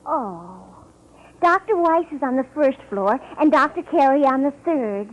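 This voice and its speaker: High-voiced, prim radio receptionist. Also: female voice, lively intonation, a little slow. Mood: polite, guarded. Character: polite, evasive female dental receptionist. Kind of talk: old-time radio drama acting. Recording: tinny, phone-like vintage radio recording.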